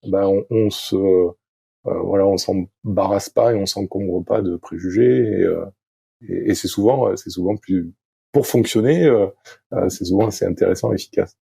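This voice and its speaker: A middle-aged French-speaking man, a sailing coach as podcast guest, talking slowly with many fillers and restarts. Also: low voice, gravelly, warm tone, husky, dry recording. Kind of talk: podcast interview, thinking aloud.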